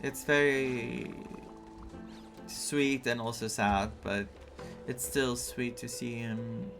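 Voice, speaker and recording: A man doing casual, reactive commentary on a game playthrough, speaking in an expressive, emotionally invested way. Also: medium pitch, takes it slowly. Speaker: gamer streaming a playthrough. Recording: game music behind the voice.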